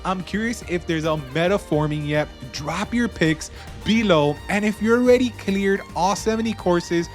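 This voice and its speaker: Higher-voiced man narrating over music. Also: normal speed. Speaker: gaming video host. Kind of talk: casual game review voice-over, part scripted, part off the cuff.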